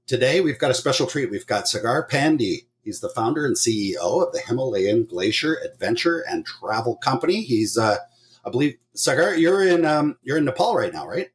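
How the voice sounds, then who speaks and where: A man's voice: medium pitch, big pitch swings, brisk, a little hiss or hum. A man hosting an adventure travel podcast, speaking in an upbeat, enthusiastic way. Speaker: podcast host. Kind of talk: scripted intro, then casual interview.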